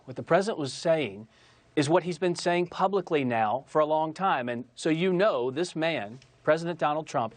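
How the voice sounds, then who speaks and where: Combative man, higher-pitched, dry sound. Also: dry recording. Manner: combative, emphatic, repeats phrases for stress. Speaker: political campaign spokesman, interview guest. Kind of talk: argumentative TV interview talking points.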